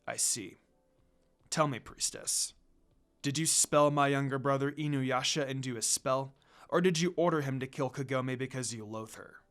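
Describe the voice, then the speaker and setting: Man as a cold, proud demon lord, low-key menace. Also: moderate pace, fairly bright tone, lightly acted. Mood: cold questioning.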